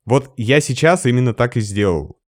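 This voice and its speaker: A man, a sound engineer, explaining microphones in a relaxed podcast conversation, practical and explanatory, with lively emphasis. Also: mid-range voice, normal speed, no room sound, clean studio sound.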